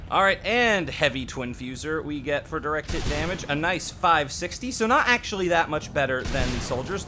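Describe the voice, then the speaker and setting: Man, gaming host, animated intonation, conversational, opinionated, self-deprecating, background music. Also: medium pitch. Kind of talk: casual game update commentary.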